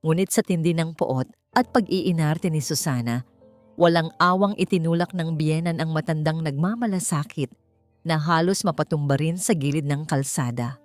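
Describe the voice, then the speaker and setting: A woman with a Filipino accent reading a scripted story in a deliberate, emotive, theatrical way. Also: deep voice, darkish tone. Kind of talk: dramatic scripted story narration. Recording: roomy sound with faint music. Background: faint music.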